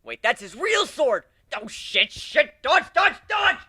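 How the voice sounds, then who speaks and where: A man's voice: extremely high pitch, big pitch swings, takes it slowly, pushed and bright, no room sound, raised voice, clean studio sound, pitched above the performer's own voice, full character voice. A man voicing a hot-headed, bossy griffin swordfighter in a dramatic story reading, exclamatory and sarcastic. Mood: alarmed.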